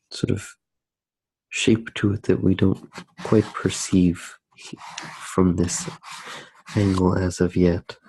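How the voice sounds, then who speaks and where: A soft-spoken man musing hesitantly through a drawing demonstration in ASMR style. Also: low voice, creaky, breathy edge, no echo, low-quality recording. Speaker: ASMR artist.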